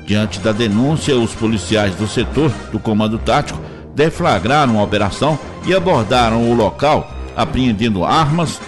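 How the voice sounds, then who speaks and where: Man, Brazilian accent, news announcer, scripted narration, mid-pitched voice, clear music bed. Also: animated, normal speed. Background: music under the voice.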